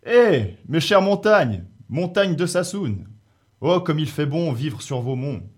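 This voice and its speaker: A boisterous young giant hero of a storybook epic, acted out by a male storyteller. He is bold and defiant, declaiming in big, booming calls. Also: moderate pace, a little mellow, close to an everyday voice. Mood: exultant.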